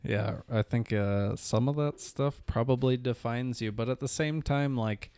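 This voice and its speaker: A man's voice: medium pitch, unhurried, dark tone, clear, resonant tone, no echo. A young man from Michigan with a Michigan accent, co-hosting a casual podcast chat in a laid-back, joking way. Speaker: podcast co-host.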